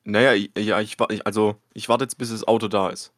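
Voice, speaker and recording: A man with a somewhat low voice chats casually on a podcast, telling everyday stories at length and arguing over small details. The recording is dry and close, with no room sound. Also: steady tone, quick pace, a bit bright, dry recording. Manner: chatty, rambling storyteller, argues details. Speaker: podcast co-host. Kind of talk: casual chat.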